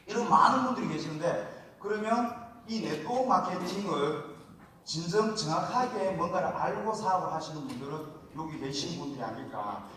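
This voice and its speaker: A man's voice: a little high, fairly bright tone, a little hiss or hum. A man with a regional Korean accent giving a seminar talk in a chatty, folksy way, often asking the audience to answer back. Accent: Korean regional dialect. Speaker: seminar speaker. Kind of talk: motivational seminar lecture. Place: large lecture hall. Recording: echoey hall, mic heard from a distance.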